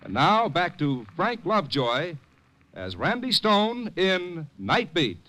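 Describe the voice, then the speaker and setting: A man's voice: high-pitched, wildly swooping pitch, takes it slowly, pushed and bright. A man announcing in 1950s radio, polished and promotional. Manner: polished, promotional, rising and falling. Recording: old radio broadcast.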